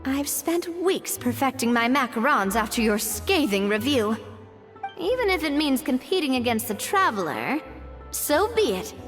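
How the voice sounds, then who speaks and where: High, animated, spirited young woman. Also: normal speed, big-room sound, faint music behind. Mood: eager. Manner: animated, reassuring. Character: bright, spirited, encouraging young woman, confident game companion. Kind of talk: video game character acting.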